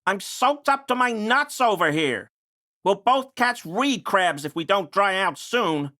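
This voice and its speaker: Game role: fussy, defensive male cryptid obsessive; pedantic and wordy, lively swooping intonation, close dry sound. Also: high voice, unhurried, dry recording, heavily acted. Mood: grumbling, impatient. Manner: pedantic, wordy, evasive, prone to grumbling. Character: fussy defensive man, amateur cryptid enthusiast and conspiracy believer. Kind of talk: video game character dialogue.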